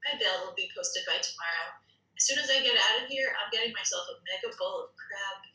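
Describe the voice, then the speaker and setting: An actress reads a defiant young woman behind bars in a screenplay table read. Her voice is very bright and forceful, and it rings in an echoey room. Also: medium pitch, brisk.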